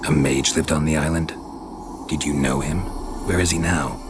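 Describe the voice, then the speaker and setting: An actor playing a gruff, weary, sardonic monster hunter in a video game, with terse, clipped, deadpan delivery. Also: male voice, rumbling low, normal speed. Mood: probing. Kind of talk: dramatic video game dialogue. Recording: game music under the voice.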